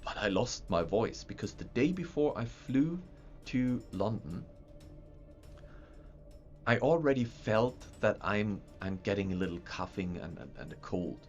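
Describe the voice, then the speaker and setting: A man's voice: lowish voice, lively intonation, unhurried, darkish tone. A man hosting a livestream, chatting casually in a rambling way with stumbling restarts and repeated words. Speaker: livestream host showing map software. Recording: dull lo-fi sound with faint music.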